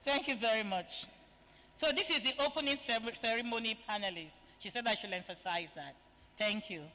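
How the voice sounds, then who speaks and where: A woman's voice: normal speed, sharp tone. A woman serving as master of ceremonies, reading formal guest introductions with honorifics into a handheld microphone. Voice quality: high voice. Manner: ceremonious, reads guest bios aloud with honorifics. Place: large conference hall. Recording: reverberant conference hall.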